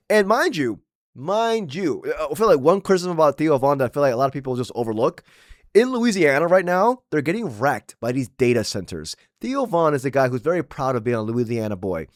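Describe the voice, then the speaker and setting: A man's voice: a little high, dry recording, studio quality. A young man from Maryland giving blunt, slangy political commentary while losing his voice. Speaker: commentary channel host.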